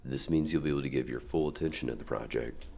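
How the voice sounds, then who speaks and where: A man playing a suave, dominant company CEO in an ASMR roleplay, speaking to the listener with measured, polite, quietly controlling reassurance and deliberate pauses. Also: extremely low pitch, creaky, husky, exaggerated voice. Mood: persuasive, confident. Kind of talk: ASMR roleplay acting, addressing the listener directly.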